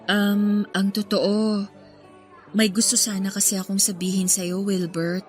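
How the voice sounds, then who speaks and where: A woman's voice: mid-range voice, flat delivery, measured pace, lightly acted. A woman playing a reserved, self-deprecating young woman in a radio drama, hesitant and confiding. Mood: nervous, confessing. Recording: music behind the voice.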